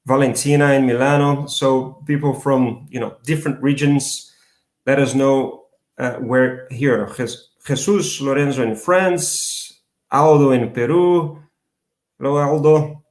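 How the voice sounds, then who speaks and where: A man's voice: mid-range voice, steady tone, measured pace, reverberant. A Brazilian man hosting a live online interview, hesitant, with many fillers and restarts. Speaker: host.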